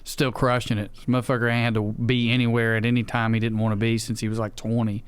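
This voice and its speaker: A man's voice: mid-range voice, flat delivery, quick pace, clean tone. A man with a Southern US accent explaining things casually on a podcast, wry, blunt and profane. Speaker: podcast co-host.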